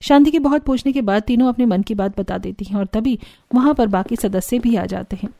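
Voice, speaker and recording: A woman's voice: fairly high pitch, fairly quick, creaky, dark tone, no room sound, faint music behind. A woman narrating a scripted cartoon family story.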